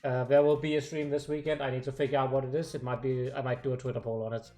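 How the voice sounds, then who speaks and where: A man hosting a game stream, chatting casually with friends and signing off, relaxed and a bit rambling. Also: mid-range voice, fast. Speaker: streamer host.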